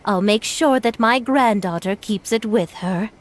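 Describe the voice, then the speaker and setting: A woman voicing a polite, gentle elderly grandmother in a video game, reminiscing in an unhurried way. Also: mid-range voice, slight background noise, natural voice. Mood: gentle, wistful. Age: older.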